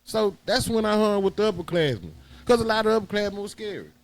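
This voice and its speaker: Higher-pitched man telling stories. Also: lively intonation, fast talker. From Houston, Texas. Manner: emphatic, slangy storytelling. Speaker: guest, high school alumnus. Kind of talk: casual reminiscing chat.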